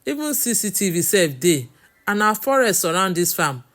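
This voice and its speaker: A woman's voice: medium pitch, big pitch swings, normal speed, fairly bright tone. A woman voicing a stern, bullying male farm owner in a storytelling, with a Nigerian accent and a scolding manner in Pidgin. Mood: boastful, assured.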